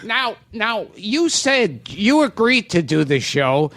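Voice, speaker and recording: A man's voice: sits high, sing-song, takes it slowly, fairly bright tone. A man hosting a podcast, laughing often and eagerly prompting his guest in casual comedic chat. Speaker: podcast host.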